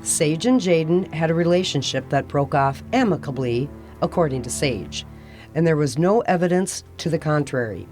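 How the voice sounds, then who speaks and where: A woman playing the composed narrator of an audio theater mystery, telling the story in a measured, scripted way. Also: low-pitched, animated, a little mellow, soft background music.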